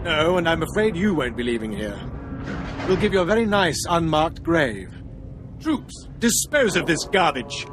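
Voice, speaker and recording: A man's voice: fairly high pitch, very animated, moderate pace, over music. A male actor playing a haughty, impatient imperial military commander in a sci-fi video game, with a pompous, imperious delivery. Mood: exasperated. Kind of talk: video game cutscene acting.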